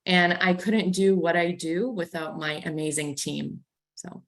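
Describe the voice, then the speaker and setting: A woman's voice: lowish voice, unhurried. A Canadian woman with a Canadian accent, speaking confidently on an online panel. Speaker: panelist, credit union executive.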